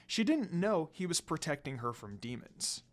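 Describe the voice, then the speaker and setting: A storyteller reads fan fiction in a dramatic style. The pitch sits in a middle register and moves up and down a lot, with background music underneath. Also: big pitch swings, normal speed.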